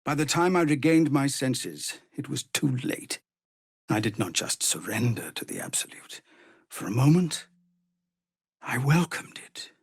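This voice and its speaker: Weary, expressive refugee leader. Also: male voice, medium pitch, gravelly, breathy edge, no echo, clean studio sound, heavily acted. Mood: bitter, sorrowful. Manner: earnest, measured, formal phrasing. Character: weary, dutiful male leader of refugees, grave and courteous. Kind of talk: dramatic video game voice acting.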